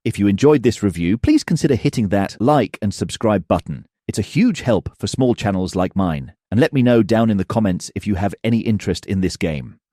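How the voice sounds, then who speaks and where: A man's voice: on the low side, animated, a little fast, hardly any pauses, warm tone, no room sound, clean studio sound. A man narrating a scripted video game review as the host of the channel.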